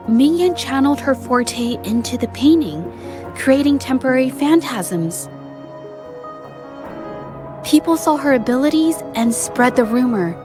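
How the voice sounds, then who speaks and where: Shy, high-voiced young game heroine. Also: female voice. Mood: thoughtful, puzzled. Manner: hesitant, halting, apologetic. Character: shy, polite, apologetic young woman, earnest and soft-hearted. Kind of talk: video game voice acting. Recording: game music behind the voice.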